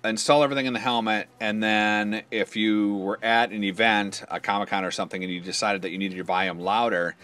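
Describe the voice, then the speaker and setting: Very deep-voiced man giving a hands-on walkthrough. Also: steady tone, fairly quick, few pauses, bright, forceful tone. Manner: informal, explanatory, pointing things out step by step. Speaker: product demonstrator. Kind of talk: casual hands-on product walkthrough.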